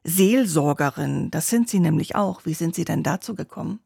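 A woman hosting a radio interview, asking measured, probing questions. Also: deep voice, expressive, gravelly, mellow, breathy edge, no echo, clean studio sound. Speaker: radio host.

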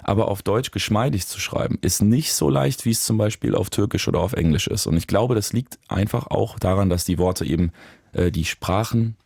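A man, a musician, talking reflectively in an interview, searching for words with pauses and restarts. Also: on the low side, gravelly, darkish tone, no room sound, clean studio sound. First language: German. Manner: thoughtful, searching, with fillers and restarts. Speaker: musician guest.

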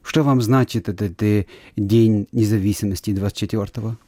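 Male radio host, low and mellow, measured delivery, dry booth. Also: no room sound. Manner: calm, measured, speaks German and Russian. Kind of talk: radio interview with simultaneous interpreting.

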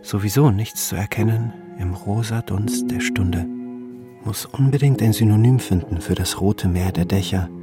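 A man with a fairly low voice narrates a literary diary in short, clipped phrases. It is a scripted audiobook-style reading, with music clearly playing behind him. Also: normal speed. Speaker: reader of a travel diary.

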